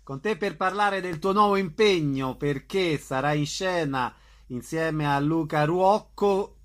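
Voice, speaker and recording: A man's voice: fairly high pitch, a bit bright. A man interviewing a guest in a conversational radio style.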